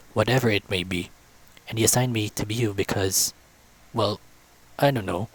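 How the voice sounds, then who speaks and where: A man's voice: lowish voice, close to an everyday voice, low-quality recording. A man voicing an easygoing, earnest young furry guard captain in a visual novel.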